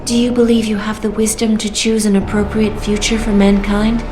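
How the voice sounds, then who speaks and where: A woman voicing a female artificial intelligence in a video game, speaking in a smooth, measured and coolly persuasive way. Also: mid-range voice, level tone, close to an everyday voice. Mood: calm persuasion. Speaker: AI guiding the player through a choice. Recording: roomy game audio with clear music.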